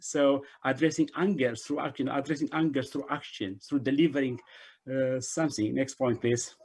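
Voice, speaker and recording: A middle-aged man speaks as an invited presenter on a video call from a room indoors. His voice sits at a medium pitch, and he often repeats words and phrases to stress a point. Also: fairly even intonation, normal speed, darkish tone, husky. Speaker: invited speaker, refugee organization director.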